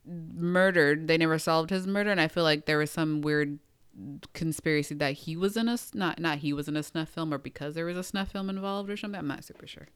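A woman's voice: low voice, a little fast, clean tone. Chatty inquisitive woman co-host. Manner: chatty, asks lots of questions. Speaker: podcast co-host. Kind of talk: casual chat.

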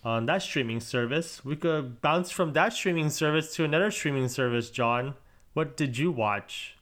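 A man hosting a casual podcast chat. He talks in a relaxed way and steers the conversation on to the next topic. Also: on the high side, normal speed.

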